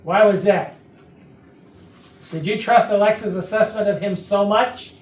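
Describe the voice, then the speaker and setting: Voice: medium pitch, a little slow, natural voice. An actor playing a blunt detective who presses suspects with authority in a staged murder mystery comedy. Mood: probing. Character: blunt, probing detective leading a murder interrogation. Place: rehearsal room for a staged reading. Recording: echoey room, distant mic, background noise.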